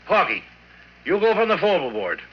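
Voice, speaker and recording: An actor playing an impatient, bossy American oil drilling crew boss, brusque and commanding, in 1940s radio drama style. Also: male voice, high-pitched, wildly swooping pitch, moderate pace, noisy background. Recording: muffled, dull 1940s radio recording.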